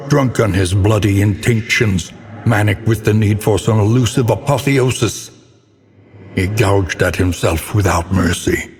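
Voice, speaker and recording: Deep brooding horror game narrator, slow and dramatic, big echoey reverb. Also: male voice, a little hiss or hum. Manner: grave, ornate, deliberately dramatic delivery. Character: grim brooding male narrator of a gothic horror tale. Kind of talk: theatrical gothic scripted narration.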